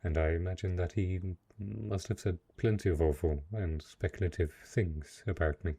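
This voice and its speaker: A man acts out a dark knight, lord of a lonely castle, in a fantasy romance roleplay. His voice is very low, dark and husky. He speaks formally and earnestly, with a possessive streak and a hesitant delivery. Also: fairly even intonation, moderate pace, full character voice. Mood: apologetic, uneasy.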